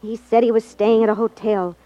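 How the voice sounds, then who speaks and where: Lady playing worried earnest young woman, old 50s radio drama, high voice, dull dry sound. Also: little pitch movement, fairly quick, dark tone, dry recording, natural voice. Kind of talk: 1950s radio drama acting.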